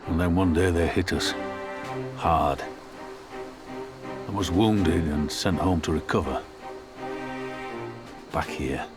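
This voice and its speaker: An actor playing a humble, plain-spoken soldier of a fantasy resistance, speaking earnestly in a dramatic game scene. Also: male voice, deep voice, slow pace, long pauses, over music. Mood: somber recollection.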